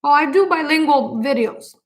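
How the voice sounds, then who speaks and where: Cooking host, woman, middle-aged, Puerto Rican accent, a bit high-voiced, unhurried live-stream chat full of ums. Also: expressive. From Puerto Rico. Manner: warm-hearted, grateful, frequent fillers and pauses.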